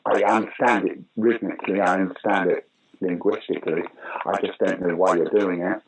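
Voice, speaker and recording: An older man with a lowish voice spins theatre anecdotes in an animated, rambling way, his voice leaping up in sudden loud bursts. A faint hiss sits under his voice. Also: fairly quick, poor audio. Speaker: guest actor and comedian. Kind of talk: conversational interview storytelling.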